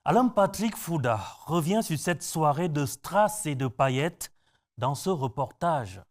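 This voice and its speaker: A man's voice: on the high side, expressive, measured pace. Upbeat male news anchor. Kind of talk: scripted news presenting.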